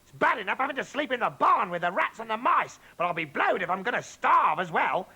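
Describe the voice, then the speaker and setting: A towering, nasty old grandmother, voiced by a man reading a storybook, her voice pushed up high and swooping wildly as she bellows. There is a gravelly rasp under the shrieks. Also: big pitch swings, unhurried, heavily acted. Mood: furious, scolding. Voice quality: shrill, shouting. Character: huge, horrid, furious old grandmother.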